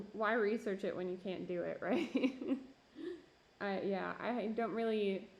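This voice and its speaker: Slow-talking chatty woman, losing her voice. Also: mid-range voice, expressive, creaky. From Minneapolis–Saint Paul area. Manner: chatty, laughing, many fillers. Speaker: pottery streamer. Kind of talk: casual chat while working, reading chat. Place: home pottery studio.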